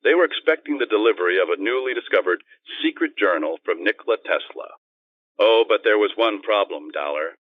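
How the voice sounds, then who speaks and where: A man's voice: lowish voice, slight background noise. A man playing a jaunty, teasing private detective in an old-time radio drama, heard through a telephone filter. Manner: playful, teasing. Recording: thin, dry phone-line sound.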